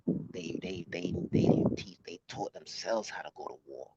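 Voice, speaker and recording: Man around thirty, very low creaky voice, slangy casual commentary, halting delivery, noisy outdoor audio. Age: late 20s, about thirty. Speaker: vlog host. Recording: muffled, noisy outdoor recording with clicks.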